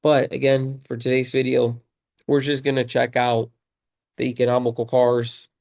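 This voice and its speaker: A man with a slightly hoarse voice from a cold, talking casually to viewers in a tutorial, conversational and repeating key points for emphasis. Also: medium pitch, little pitch movement, moderate pace, darkish tone. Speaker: video creator and car rental host.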